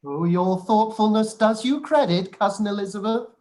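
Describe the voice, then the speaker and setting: An actor playing a pompous, obsequious male clergyman in a period radio play, sanctimonious and a little halting. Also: medium pitch, a little mellow, close to an everyday voice. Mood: unctuous. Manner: sanctimonious, halting when flustered.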